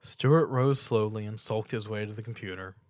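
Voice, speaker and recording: A man's voice: fairly low pitch, moderate pace, darkish tone, dry recording, studio quality. A man reading a piece of fan fiction aloud as dramatic story narration.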